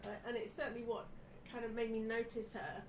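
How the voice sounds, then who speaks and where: A woman's voice: fairly high pitch, fairly even intonation, brisk. A woman, snuffly with a head cold, chatting casually as a podcast guest. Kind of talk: casual chat from notes. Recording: echoey, distant mic, clear background noise.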